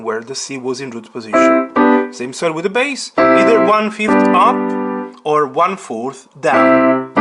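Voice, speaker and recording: A man teaches piano in a tutorial style, with a slightly high voice that swings widely in pitch. He explains each step deliberately and emphatically, asking the learner questions as he goes. Piano playing sounds prominently alongside his speech. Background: piano chords.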